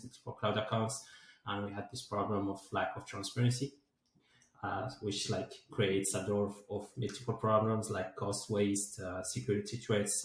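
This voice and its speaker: A man in his twenties from Morocco, answering interview questions as a guest and filling his sentences with "like" and "uh". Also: lowish voice. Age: 20s. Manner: many fillers like "like" and "uh". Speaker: guest, DevOps engineer and founder. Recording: very echoey room.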